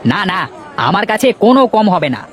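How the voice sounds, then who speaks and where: A man's voice: high-pitched, big pitch swings, moderate pace, a faint crowd, a little hiss or hum. A man voicing a blunt village fishmonger in a cartoon, dismissive and unwilling to haggle. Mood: firm, dismissive. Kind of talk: Bengali cartoon voice acting.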